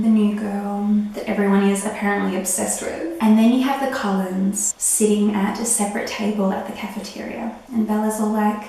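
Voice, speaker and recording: A woman in her thirties, husky from a recent illness, chatting excitedly about a book. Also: medium pitch. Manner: gushing, excitable. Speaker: book reviewer. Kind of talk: casual book review chat. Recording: very echoey room.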